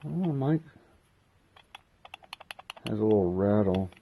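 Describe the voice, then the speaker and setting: A man hosting a casual unboxing video, talking haltingly as he thinks aloud while handling gear. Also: lowish voice, lively intonation, vocal fry, narrow, tinny audio, slight background noise. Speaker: host of a hobby unboxing video. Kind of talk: casual unscripted product walkthrough.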